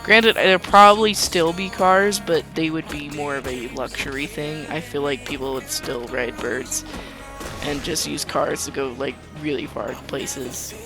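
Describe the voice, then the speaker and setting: A young woman hosting a gaming video, chatting casually in a calm, soothing voice as she rambles. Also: sits low, a little slow. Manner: calm, soothing, rambling talk with light laughs. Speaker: gaming channel host. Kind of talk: casual gameplay commentary. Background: background music.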